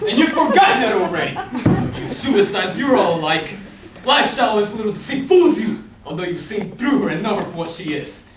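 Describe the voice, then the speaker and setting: A man's voice: sits high, big pitch swings, normal speed. A young man playing a mocking, smug Death in an amateur stage play, with a sardonic, taunting delivery. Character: mocking, smug hooded Death, male, bargaining for souls. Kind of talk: theatrical stage acting. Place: indoor theatre stage. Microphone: distant audience camera. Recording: echoey theatre stage from far off, faint noise.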